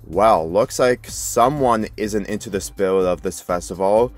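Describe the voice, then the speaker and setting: A man's voice: lowish voice, unhurried, soft background music, lightly acted. A man voicing a polite, questioning young woman who works at a food stand, in a story reading. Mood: retorting. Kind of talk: character dialogue in a story reading.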